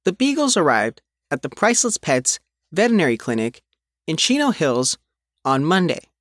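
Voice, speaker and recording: A man reading a news article as its reporter, in measured, phrase-by-phrase scripted narration. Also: on the high side, sing-song, fairly bright tone, no room sound, clean studio sound. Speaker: reporter reading their own written article.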